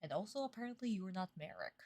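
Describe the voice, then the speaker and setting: A woman's voice: mid-range voice, expressive, quick pace, darkish tone. A young woman streaming a live reaction, talking in an exasperated, sarcastic way with plenty of swearing.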